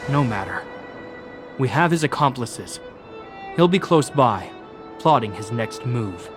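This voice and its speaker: Stern guard captain, mid-pitched. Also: male voice, big pitch swings. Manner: formal, measured, authoritative. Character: stern, dutiful male guard captain, solemn and principled. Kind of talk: video game voice acting. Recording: game music behind the voice. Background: orchestral violin music.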